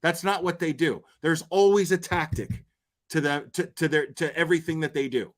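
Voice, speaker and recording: Blunt, higher-voiced male livestream commentator. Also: fairly even intonation, moderate pace. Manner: blunt, argumentative, coarse-mouthed. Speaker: livestream host commenting on crime cases. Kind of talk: unscripted opinionated livestream commentary.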